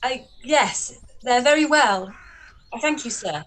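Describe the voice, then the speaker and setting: A woman's voice: a little high, expressive, a bit bright, slight background noise. A woman playing a flustered young heroine. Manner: halting and flustered. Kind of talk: live-read radio play.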